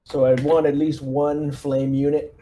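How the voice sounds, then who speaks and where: A man talking casually through a tabletop roleplaying game, giving squad orders in a halting way. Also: on the high side, level tone, warm tone, poor audio.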